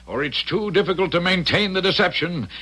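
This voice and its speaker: A man's voice: high-pitched, expressive, fairly quick, sharp tone, full character voice. An actor playing an anxious, fatherly inventor in an old-time radio drama, hesitant and earnest in manner. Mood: earnest, cautioning.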